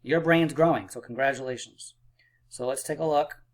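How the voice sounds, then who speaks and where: A man teaching a math lesson for children, upbeat and encouraging, a little hoarse and clearing his throat. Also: mid-range voice, normal speed. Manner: upbeat and encouraging, playful drum rolls and counting aloud. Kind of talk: math lesson video for kids.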